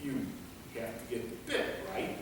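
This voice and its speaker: In a distant, echoing hall, a man acts out an inquisitive guard in a comic stage play. His delivery is slow and halting, with lively swings in pitch as he stalls for time with questions. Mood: curious, stalling. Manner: halting, inquisitive, hesitant phrasing. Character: captured male guard stalling vampires with curious questions. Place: large reverberant indoor performance space. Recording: echoey hall from far off, noisy.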